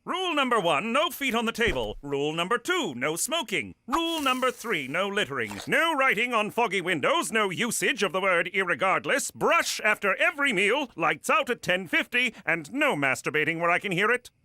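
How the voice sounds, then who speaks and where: A man's voice: sits high, big pitch swings, moderate pace, hardly any pauses, bright, forceful tone, slight background noise, exaggerated voice. A man voicing a fussy, prim host in a cartoon, precise and scolding. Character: fussy, prim older host laying down house rules.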